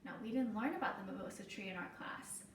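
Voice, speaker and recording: A woman teacher reading a children's story aloud deliberately, with simple explanatory asides. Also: medium pitch. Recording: roomy echo, distant mic, faint noise.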